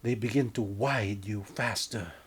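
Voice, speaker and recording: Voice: mid-range voice, a little slow, breathy edge. A narrator reading their own comic story in a mock-serious, theatrical, scripted style.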